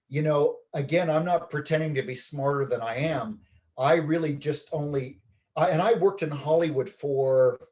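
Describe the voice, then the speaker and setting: A man from Canada, a documentary film director, giving a measured, reflective interview with many ums and pauses. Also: fairly high pitch, darkish tone.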